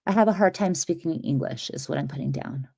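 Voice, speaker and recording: Woman, Armenian first language, low voice, bubbly, casual stream chat. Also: a little fast, creaky, dark tone, husky. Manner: bubbly, greets viewers mid-chat.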